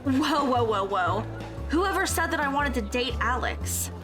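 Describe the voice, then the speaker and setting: A woman's voice: sits high, expressive, unhurried, music in the background. A woman playing an earnest, easily flustered teenage schoolgirl in an audio drama, speaking in a tentative, questioning manner.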